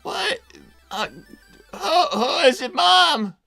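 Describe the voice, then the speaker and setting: Someone doing a nervous tired teen fox boy, creaky n bright, stammers a lot, music behind. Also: high-pitched, big pitch swings, slow, exaggerated voice. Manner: hesitant, stammering. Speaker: live stream host reading donations. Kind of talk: improvised in-character livestream.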